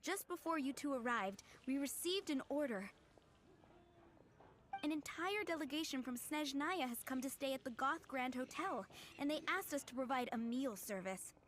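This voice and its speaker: A young waitress from an anime game. Her voice is very high, with an apologetic, harried and hesitant delivery. There is noise in the background. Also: lively intonation, normal speed, a bit bright. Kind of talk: English anime game dub acting.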